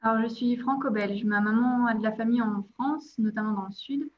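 A woman's voice: fairly high pitch, fairly quick, lo-fi sound. A young woman from the province of Liège in Belgium, a podcast guest, talking casually with many hesitations and light laughs. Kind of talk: casual interview chat.

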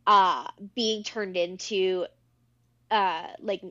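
A woman's voice: medium pitch, fairly even intonation, extremely slow, pushed and bright, dull audio. A young woman co-hosting a podcast in a laid-back, jokey way with lots of filler words. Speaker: podcast co-host. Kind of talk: casual chat.

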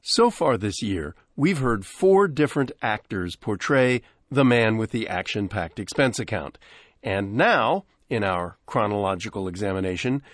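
A man's voice: fairly low pitch, no room sound, clean studio sound. An American man hosting a radio show, reading a scripted introduction to vintage programs. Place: dry broadcast studio.

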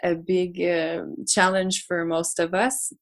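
A woman's voice: fairly low pitch, level tone, takes it slowly. Casual female podcast guest; hesitant, restarting often. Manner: hesitant, many restarts and laughs. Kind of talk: casual conversation.

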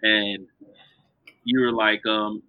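A man hosting a podcast on a live video call, talking in a halting way full of ums and restarts. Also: on the low side, flat delivery, smooth, no echo. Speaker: podcast host. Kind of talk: casual live-stream interview. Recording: dull video call audio.

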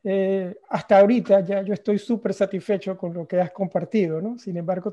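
Very mellow-voiced Latin American woman, muffled sound. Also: medium pitch. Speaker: podcast host, interviewer. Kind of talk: conversational interview.

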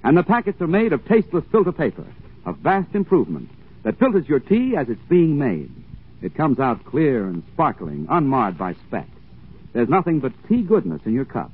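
A man announcing a scripted 1940s radio advertisement as a polished sales pitch. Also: wildly swooping pitch, normal speed, husky. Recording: tinny, thin 1940s radio broadcast.

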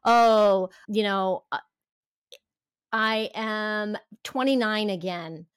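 A woman's voice: mid-range voice, very slow, smooth, no echo. Earnest woman in her fifties, dry sound. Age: 50s. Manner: earnest, reflective, restarts often. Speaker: podcast guest. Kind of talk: casual interview chat.

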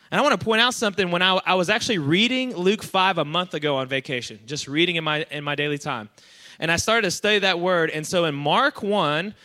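Bright, upbeat man preaching a sermon. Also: on the high side. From Iran. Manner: energetic, chatty, asks the crowd for responses. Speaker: pastor. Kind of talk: sermon to a young adult congregation.

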